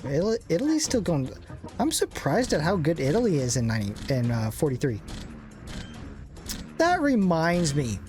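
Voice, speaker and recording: A man's voice: fairly high pitch, wildly swooping pitch, moderate pace, pauses often, a little mellow. A young man giving casual, rambling commentary on a strategy game, joking and poking fun at himself. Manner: rambling, joking, self-deprecating asides. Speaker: gaming channel host. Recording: game music playing behind. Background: game music.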